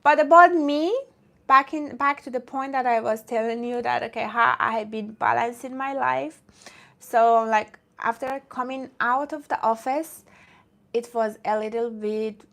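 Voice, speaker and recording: A woman's voice: on the high side, unhurried, gravelly, fairly bright tone. A woman from Iran who streams about fitness, chatting casually with viewers in a rambling way and often breaking off to greet commenters.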